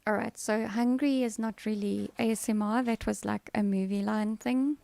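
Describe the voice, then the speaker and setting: A woman streamer with a soothing voice and a gravelly, creaky edge, talking casually to her chat. The sound is dry and close, with no room echo. She is chatty and playful, then firm when she shuts a topic down. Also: mid-range voice, fairly even intonation, moderate pace, mellow. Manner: chatty and playful, breaking into firm repeated no's. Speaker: live streamer. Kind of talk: casual live-stream chat, answering viewers' comments.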